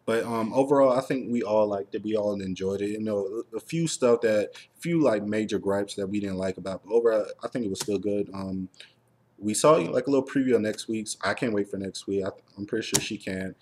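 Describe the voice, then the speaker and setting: Low, husky man chatting through a review. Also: a little fast, a little mellow. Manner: rambling, peppers speech with "like" and "you know". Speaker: host of a TV review show. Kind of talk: casual review chat.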